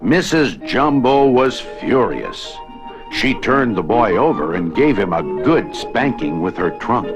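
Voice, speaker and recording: A man narrating a children's read-along storybook in an expressive, deliberate storytelling manner. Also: medium pitch. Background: music.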